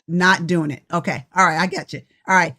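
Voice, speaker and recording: A woman's voice: on the low side, steady tone, brisk, bright, forceful tone. A sixty-year-old woman coach, giving a passionate, tired, preachy live talk straight to her viewers. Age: 60s. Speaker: life coach. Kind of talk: motivational live-stream talk.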